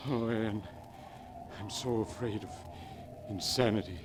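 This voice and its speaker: A man's voice: on the low side, fairly even intonation, measured pace, close to an everyday voice. A man playing a haunted middle-aged uncle who dreads madness and death, in melodramatic 1940s radio style. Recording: hissy old 1940s radio recording.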